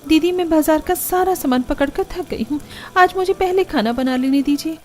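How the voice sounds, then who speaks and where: A very high-pitched young daughter-in-law from a cartoon family drama, acted by a woman. She is earnest and overburdened, speaking politely with a plaintive edge and always eager to please. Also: normal speed, a little mellow, a little hiss or hum, close to an everyday voice. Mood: plaintive, weary.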